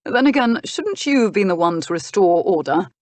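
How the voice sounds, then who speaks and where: Woman as a measured, exasperated gentleman, dry-humoured. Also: medium pitch, big pitch swings, no room sound, close to an everyday voice. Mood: dry, polite. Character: patient, exasperated gentleman, brother of a duchess. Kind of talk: historical romance audiobook character.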